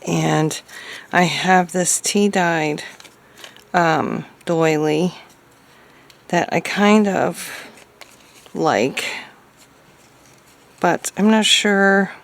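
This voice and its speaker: A woman chatting casually as she crafts, thinking aloud hesitantly in a hoarse, gravelly voice. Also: on the low side, drawn-out words, pauses often. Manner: hesitant, musing to self. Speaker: craft video maker.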